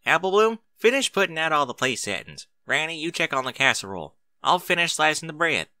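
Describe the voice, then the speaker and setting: No-nonsense working mare played by a man, bossy older sister, animated and bright, close dry sound. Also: fairly high pitch, fairly quick, dry recording, voice pushed up, heavily acted. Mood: brisk, organizing. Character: hardworking farm mare, practical, bossy big sister.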